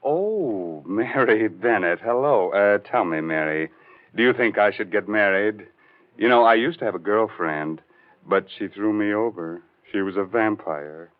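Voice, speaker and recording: Ghoulish radio host, dull vintage recording. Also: male voice, lowish voice, expressive, measured pace. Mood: macabre playful. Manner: mock-courteous, punning, theatrical. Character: ghoulish, wisecracking old bachelor horror host. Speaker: host of a horror radio anthology. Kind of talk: 1940s radio acting. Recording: muffled 1940s radio recording with music behind.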